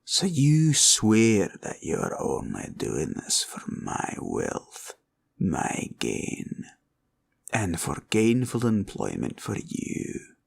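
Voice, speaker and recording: A man playing a proud, cunning green dragon in a villain roleplay audio, with a taunting drawl and a gravelly growl. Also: fairly low pitch, wildly swooping pitch, very slow, full character voice. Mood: suspicious, menacing. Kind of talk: roleplay ASMR villain monologue.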